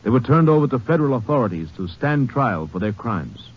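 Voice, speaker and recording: A man's voice: medium pitch, big pitch swings, a little fast. A man reading a formal scripted epilogue as a 1950s radio announcer. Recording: dull old radio recording, music behind.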